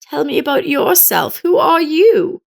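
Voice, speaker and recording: A woman's voice: sits high, big pitch swings, a little slow, gravelly, pitched above the performer's own voice, heavily acted. A woman voicing a kindly, sorrowful elderly neighbor with an earnest, emphatic manner in an audio story. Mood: inquisitive.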